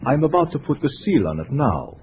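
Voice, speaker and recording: An actor playing a smug, commanding foreign communist spy in a 1950s radio drama, speaking formally and deliberately. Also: male voice, mid-range voice, sing-song, lightly acted. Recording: dull, noisy old radio recording.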